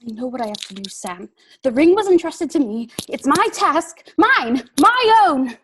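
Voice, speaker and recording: Troubled young hobbit, high lively voice, soft and tense. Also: male voice, measured pace, full character voice. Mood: strained. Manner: soft, strained, turning possessive. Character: young male hobbit, burdened, defensive. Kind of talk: film acting.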